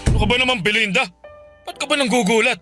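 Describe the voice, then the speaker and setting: An actor playing an irritable husband in a radio drama, speaking curtly and defensively. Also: male voice, extremely high pitch, quick pace, music in the background. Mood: annoyed. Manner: curt, dismissive, defensive. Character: irritable husband dodging his wife. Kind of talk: Filipino radio drama acting.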